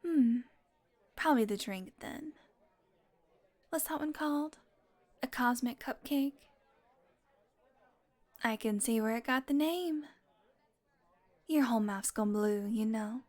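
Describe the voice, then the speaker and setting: A woman's voice: a little high, takes it slowly, long pauses. A woman playing a sardonic, flirtatious, possessive female vampire in an intimate audio roleplay, talking teasingly to the listener and sometimes dropping into a murmur. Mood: flirty, playful. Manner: sardonic, teasing, casually swearing, slipping into breathy murmurs.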